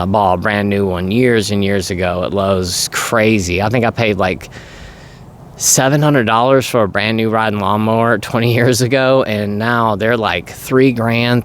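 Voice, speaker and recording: A middle-aged man vlogging in a casual, rambling way, his voice raspy and going from allergies, with frequent throat clearing. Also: on the low side, fairly bright tone. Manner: rambling, conversational. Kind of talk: casual personal monologue.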